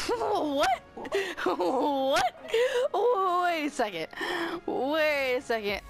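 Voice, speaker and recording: A woman's voice: sits high, very animated, very slow, soft background music. A woman chatting casually while playing a game online, laughing and squealing nonstop over a headset. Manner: giggly, constantly laughing and squealing. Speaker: gamer. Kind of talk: casual gaming chat.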